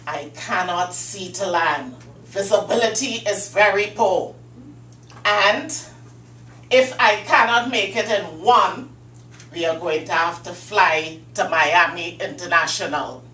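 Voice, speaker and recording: A woman's voice: lowish voice, takes it slowly, bright, forceful tone. A woman voicing a male airline pilot, giving formal cabin announcements in a prepared speech. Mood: strained. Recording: roomy, distant mic, faint hum.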